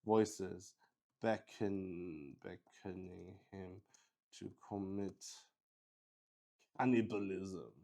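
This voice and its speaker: A man's voice: low voice, fairly even intonation, extremely slow, vocal fry, long pauses, mellow. A man improvising narration for a solo tabletop roleplaying game, thinking aloud hesitantly and often repeating his words. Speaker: solo roleplay host and player.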